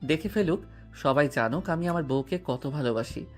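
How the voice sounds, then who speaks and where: A man's voice: on the high side, expressive, normal speed, a little mellow, natural voice. A male voice actor playing a playful, devoted young husband in an audio romance drama. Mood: tender. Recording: music bed underneath.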